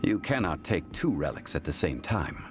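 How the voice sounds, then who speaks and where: A composed, learned contract-keeper speaks in a very deep voice that still moves expressively up and down. His phrasing is formal and measured. Game music plays behind him. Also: very animated.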